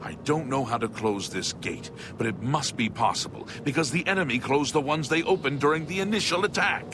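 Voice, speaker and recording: An actor playing a weary but determined city guard captain in a fantasy video game, commanding and exasperated. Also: male voice, a little high, animated, a little fast, background noise, natural voice. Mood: grim resolve.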